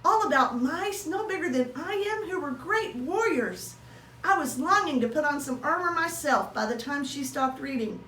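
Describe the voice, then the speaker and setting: A woman reading a children's story aloud in an animated way, drawing out words for dramatic emphasis. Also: high-pitched, moderate pace. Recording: roomy echo with faint noise.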